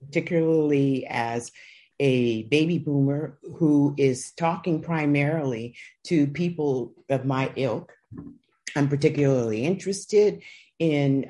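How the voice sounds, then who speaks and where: Very low, mellow-voiced woman podcast host. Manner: chatty and enthusiastic. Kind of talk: casual podcast interview.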